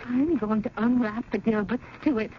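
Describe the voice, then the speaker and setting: A woman's voice: a little high, moderate pace, natural voice. An actress in a 1970s radio drama playing an eccentric elderly lady who is gushing, tremulous and effusive. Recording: dull, faintly hissy old radio broadcast.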